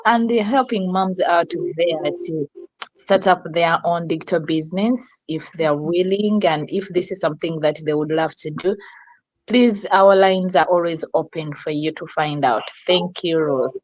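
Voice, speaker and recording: A woman's voice: fairly low pitch, measured pace, dry recording, rough recording. A woman from Uganda introducing herself cheerfully on a live stream over a video call. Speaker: guest, digital business owner.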